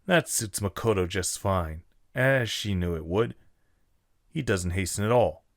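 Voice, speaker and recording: A man with a low voice narrates a scripted story. He reads as the narrator of an audio drama, and the sound is dry, with hardly any room on it. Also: lively intonation, a little slow, creaky, no echo.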